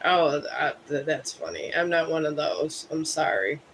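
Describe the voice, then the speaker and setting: High, bright-voiced man in his fifties. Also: a little slow, slight background noise. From Cleveland, Ohio. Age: 50s. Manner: rambling, musing, self-deprecating. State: a bit high. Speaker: live streamer. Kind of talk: casual live stream chat with viewers.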